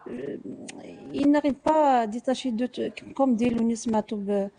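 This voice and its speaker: High, mellow-voiced woman, hesitant. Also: moderate pace. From Algeria. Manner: hesitant, searching for words, repeating small words. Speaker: live audio discussion participant. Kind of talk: casual personal testimony in a live discussion.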